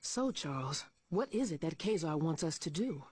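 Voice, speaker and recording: A very husky, grave commander. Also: male voice, a little high, very animated, normal speed. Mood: assured. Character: grave, dignified mutant commander. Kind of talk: video game cutscene acting.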